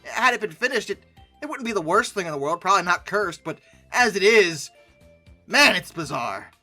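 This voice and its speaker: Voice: mid-range voice, very animated, normal speed, sharp tone. A commentator giving humorous, ranting reaction commentary in a theatrical, exasperated, sardonic way, repeating phrases for emphasis. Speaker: host of a paleoart commentary video. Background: background music.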